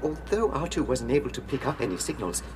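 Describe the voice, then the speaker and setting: A man's voice: medium pitch, big pitch swings, fast talker, lightly acted. A man playing an anxious, polite male protocol droid in a radio drama, with prim, formal, fretful diction. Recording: music behind the voice.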